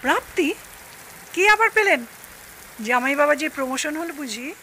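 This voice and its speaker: A woman as a high-pitched, inquisitive older in-law. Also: animated, normal speed, sharp tone, slight background noise, poor audio. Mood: curious, concerned. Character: concerned, chatty older female in-law visiting. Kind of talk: audio drama acting.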